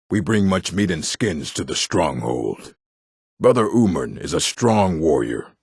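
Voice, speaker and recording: Stern orc warrior, very low, gravelly, solemn, threatening, fantasy game. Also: male voice, no echo, clean studio sound, full character voice. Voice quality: gravelly, husky. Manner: blunt, solemn, commanding. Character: stern, proud orc stronghold warrior, honor-bound and threatening. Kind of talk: video game character voice acting.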